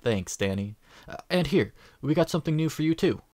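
A woman playing a kind, patient adventurer in a fantasy audio drama, talking in a gentle, casual, reassuring way. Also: deep voice, animated, fairly quick, dry recording, studio quality. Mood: warm, reassuring.

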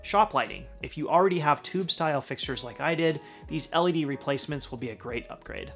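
A man's voice: on the high side, lively intonation, a little fast, vocal fry, a bit bright, faint music behind. Upbeat man running down a tool list. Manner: upbeat list-style delivery, dropping at the end of each item. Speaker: woodworking channel host. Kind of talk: scripted product rundown. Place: home garage workshop.

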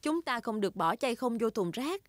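Animated, encouraging mummy pig. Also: female voice, on the high side, moderate pace, no echo. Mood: instructive. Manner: gentle, instructive, encouraging. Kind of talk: Vietnamese cartoon dub. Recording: cartoon music behind the voice.

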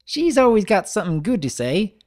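Someone putting on a perky high-pitched country filly. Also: very animated, brisk, lightly acted. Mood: chatty, eager. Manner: folksy, eager, chatty. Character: perky young filly, country pony little sister. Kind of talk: dramatic reading of fan fiction.

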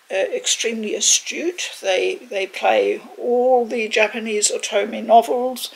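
An older woman, in her sixties or beyond, giving a measured, reflective video review in a conversational way, choosing her words carefully. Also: a little high, creaky, rough recording. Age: 60s or older. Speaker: game reviewer, video host. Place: living room, indoors.

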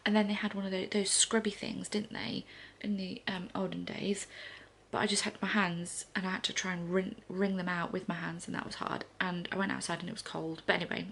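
A woman in her thirties chatting to camera in a soft, often half-whispered way on a living room sofa, a little congested. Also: fairly low pitch, flat delivery, fairly quick, gravelly, a little hiss or hum. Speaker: vlogger. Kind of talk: casual morning chat to camera.

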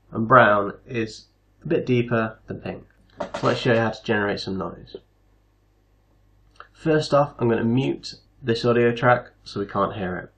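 A man hosting a casual software tutorial, explaining things step by step. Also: lowish voice. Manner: step-by-step instructional. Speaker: tutorial host.

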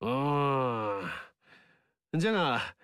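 An actor playing a cheeky, folksy upstart warlord in a video game, speaking in a rustic dialect. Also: male voice, medium pitch, animated, slow pace, a bit bright, clear, resonant tone, dry recording. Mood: teasing. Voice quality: rustic dialect speech, teasing. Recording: dry studio recording.

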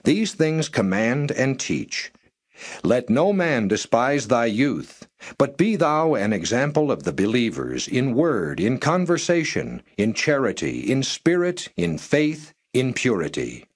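A man narrating scripture in a measured, solemn and formal reading style. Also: medium pitch, sing-song, breathy edge. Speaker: Bible narrator.

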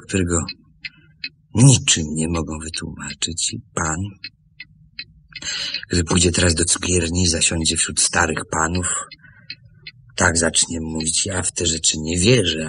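An earnest middle-aged man in a radio play, pleading his case. His voice is low and creaky, and he speaks very slowly with weighty pauses. The old recording carries a faint hiss. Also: bright, forceful tone, exaggerated voice. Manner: pleading, deliberate, with heavy pauses. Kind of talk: Polish radio play acting.

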